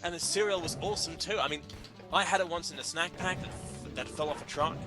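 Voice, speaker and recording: A man's voice: on the high side, brisk. Theatrical man reading comedy over music. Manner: theatrical, breaks into laughter while reading. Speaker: reader of a humorous article. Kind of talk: dramatic comedic reading among friends.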